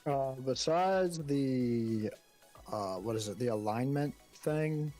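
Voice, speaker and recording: A man's voice: medium pitch, animated, slow pace, warm tone, faint music behind. A man chatting casually and teasingly as a guest co-player on a game livestream. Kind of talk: casual chat.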